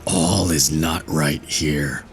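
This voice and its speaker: A man voicing a gruff, ill-tempered devil in a storybook reading, slow and husky. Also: very deep voice, fairly even intonation, exaggerated voice. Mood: suspicious. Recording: faint music behind.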